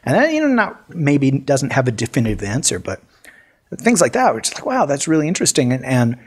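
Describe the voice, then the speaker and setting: A man co-hosting a casual podcast, telling stories in a lively way with lots of restarts. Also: mid-range voice, a little fast, breathy edge. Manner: animated storyteller, many restarts. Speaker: podcast co-host. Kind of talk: casual chat.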